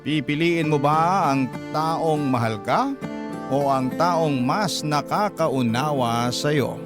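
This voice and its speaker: A man working as a radio host, reading listeners' love letters in a measured, sentimental, dramatic narration. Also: fairly high pitch. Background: loud background music.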